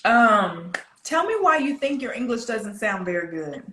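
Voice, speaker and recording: A woman's voice: on the high side, sing-song, normal speed, bright, forceful tone, echoey room. A woman with an American Southern accent coaching one-to-one, explaining things directly and checking in with questions. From southern United States. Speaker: English pronunciation coach.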